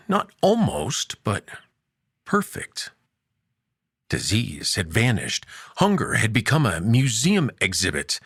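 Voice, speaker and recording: Husky man telling a dramatic story. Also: no room sound, clean studio sound. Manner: expressive, swinging between hushed low lines and lifted emphatic ones. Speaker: host and narrator.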